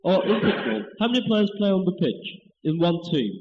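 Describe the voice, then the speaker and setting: Flustered, courteous quiz presenter played by a teenage boy, high-pitched and halting, radio spoof on muffled, noisy tape. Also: expressive. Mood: flustered, polite. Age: teens, 15. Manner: hesitant, apologetic, frequent uhs. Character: polite, flustered male sports quiz show host. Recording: muffled, noisy, echoey home tape recording.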